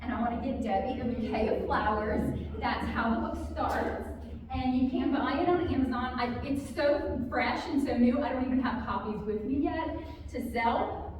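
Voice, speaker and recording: A woman author speaking and reading a children's story aloud to a group, with expressive, drawn-out emphasis on key words. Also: fairly high pitch. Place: large reverberant room, live community gathering. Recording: very echoey hall, distant, faint noise.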